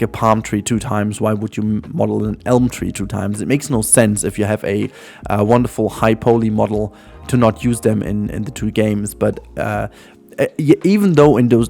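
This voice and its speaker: A man's voice: on the low side, vocal fry, darkish tone. A man with a German accent and German as his first language, hosting casual gameplay commentary in a chatty, rambling way full of ums. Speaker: gaming channel host. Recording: faint music behind.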